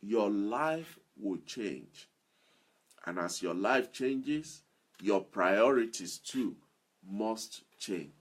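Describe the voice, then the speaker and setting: A man's voice: lowish voice, lively intonation, extremely slow. A man giving an earnest motivational talk as a self-improvement speaker, repeating key phrases for emphasis.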